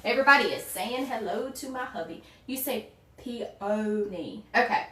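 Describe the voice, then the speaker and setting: Woman, forties, Southern accent, fairly high voice, chatty livestream host, roomy echo. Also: animated, moderate pace, pushed and bright, far from the mic. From small town in Virginia. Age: 40s. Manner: chatty, greets viewers by name, folksy sayings. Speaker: wreath-making livestream host. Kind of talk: casual livestream chat while crafting.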